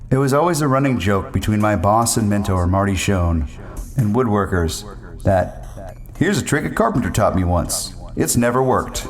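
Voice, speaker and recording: A man with a fairly low voice narrates a first-person story. His phrasing is ornate and wordy, wandering as he goes, with faint music underneath.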